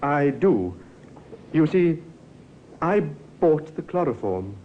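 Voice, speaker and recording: Frightened young parson with swooping voice. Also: male voice, a little high, sing-song, noisy background. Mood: resigned, nervous. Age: young adult. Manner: anxious, halting, stammering. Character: frightened, guilt-ridden young parson, meek. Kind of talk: 1950s radio drama acting. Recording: muffled 1950s radio recording.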